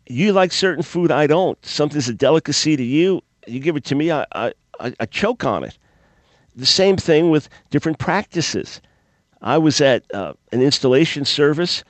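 Middle aged guy hosting talk radio, gets fired up like a preacher, dry mic. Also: no room sound. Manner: passionate, preacherly, pitch leaping up on emphasis. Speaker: radio talk show host. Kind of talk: call-in radio commentary.

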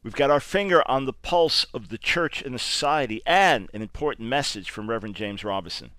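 A man hosting a call-in radio show, talking in an animated, preacherly way and acting out quoted voices. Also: mid-range voice, a little fast, over music. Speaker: radio host. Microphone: studio microphone.

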